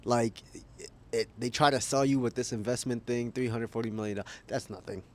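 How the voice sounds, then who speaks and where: A man's voice: medium pitch, steady tone, normal speed, a little mellow. A man making a casual opinion vlog as a YouTuber, rambling, thinking aloud and correcting himself, with frequent chuckles. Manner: chuckles often while talking.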